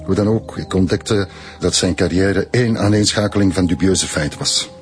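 An actor with a Flemish accent playing an older, indignant and proud historian in a radio drama, speaking deliberately and bitterly. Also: male voice, low-pitched, natural voice. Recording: faint music behind the dialogue.

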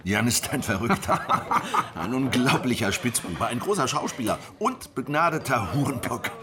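An actor playing a weary, wary middle-aged veteran of lost uprisings in a historical radio drama, reflective and confiding. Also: male voice, medium pitch, very animated, moderate pace, a loud crowd, natural voice. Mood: amused.

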